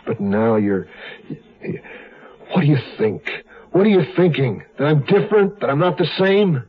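A brooding, desperate fugitive in a seventies radio drama. His rough, husky voice is terse and swings moodily. The sound is thin and very band-limited. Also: a little high, animated, moderate pace. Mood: brooding, tormented. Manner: moody, terse. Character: brooding, desperate escaped convict husband. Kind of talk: 1970s radio drama acting. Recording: very narrow-band 1970s radio recording.

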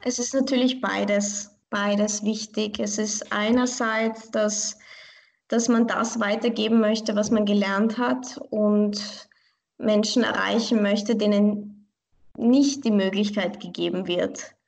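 A woman's voice: mid-range voice, fairly even intonation, slow pace, creaky. A young woman from Vienna, a pianist answering interview questions in a thoughtful, earnest way and often restarting phrases. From Vienna, Austria. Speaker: pianist and online piano teacher.

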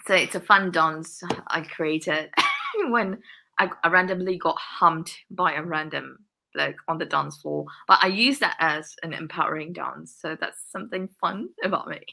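Podcast guest: woman, lower pitch, bright tone, earnest and laughing often. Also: animated, a little slow, creaky. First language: English. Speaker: guest, workplace diversity consultant. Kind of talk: podcast interview answers.

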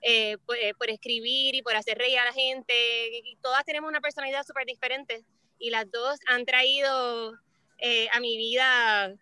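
A woman's voice: a little high, steady tone, moderate pace, pushed and bright, clear, resonant tone, no room sound. A woman with a Puerto Rican accent chatting casually as an interview guest. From Puerto Rico. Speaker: interview guest, lawyer and mother. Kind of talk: casual chat. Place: outdoors by the beach.

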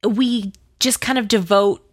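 A woman's voice: fairly high pitch, fairly even intonation, unhurried, no echo, clean studio sound. A woman chatting casually as an interview guest, enthusiastic and talkative, peppering her sentences with "like". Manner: chatty and enthusiastic, says "like" very often. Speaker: interview guest, podcast and pop culture site creator.

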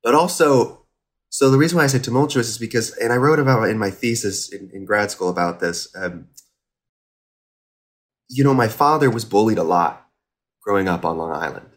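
Man, American accent, deep pitch, reflective, self-interrupting casual storyteller. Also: normal speed, long pauses. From Indiana, US. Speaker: podcast guest.

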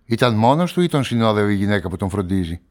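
A man acting as an inquisitive journalist who narrates a radio drama in a measured, probing manner. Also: fairly low pitch, big pitch swings. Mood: probing, polite. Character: inquisitive male journalist investigating a murder, narrating his story. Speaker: narrator and lead actor.

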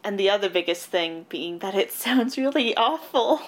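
A woman's voice: mid-range voice, animated, moderate pace. Blunt, wry young woman co-host. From Canada. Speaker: podcast co-host. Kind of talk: casual podcast chat.

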